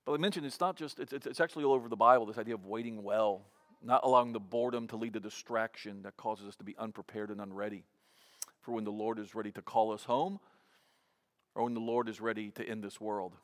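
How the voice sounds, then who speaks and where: A man's voice: on the low side, fairly even intonation, fast talker, fairly bright tone. A man in his fifties preaching a sermon in a conversational way, often restarting with repeated words. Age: 50s. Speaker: pastor.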